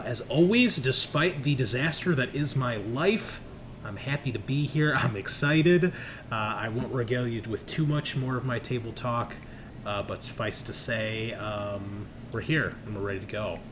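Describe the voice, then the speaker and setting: A man hosting a tabletop roleplaying show, chatting in a casual, informal and jokey way as he introduces things. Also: lowish voice. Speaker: host and game master of a tabletop roleplaying show. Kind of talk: casual podcast introduction and banter. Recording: clearly noisy background.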